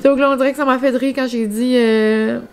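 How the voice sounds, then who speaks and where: A young woman with a northern New Brunswick Acadian French accent talks in a fairly high voice. She speaks casually to her vlog viewers, rambling along in colloquial regional speech. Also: fairly even intonation, fairly quick, smooth. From New Brunswick, Canada. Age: young adult. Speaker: vlogger.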